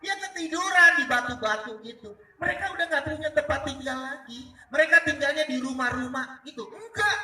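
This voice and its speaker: Male storyteller. Very high, bright, forceful voice, conversational yet dramatic, recorded in an echoey room. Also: a little fast, few pauses. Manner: conversational, dramatic, asks rhetorical questions. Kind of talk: animated oral storytelling to live listeners. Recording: echoey room, distant mic.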